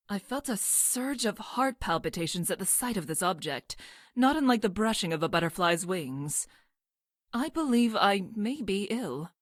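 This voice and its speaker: A woman's voice: medium pitch, dry recording, studio quality, natural voice. A woman voicing a clinical, awkwardly smitten female scientist-assassin from the future in a video game, speaking in a stilted, formal way with precise diction. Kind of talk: video game character voice lines.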